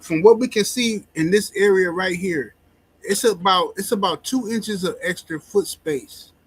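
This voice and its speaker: A man's voice: high voice, unhurried, slightly rough. A male host running a casual show who teases his guest and keeps the jokes coming. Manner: teasing, jokey.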